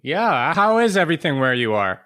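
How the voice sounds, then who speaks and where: A man's voice: on the high side, very animated, moderate pace, a bit bright. A man hosting a podcast, asking his guest friendly, casual questions. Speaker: podcast host. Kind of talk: casual interview conversation.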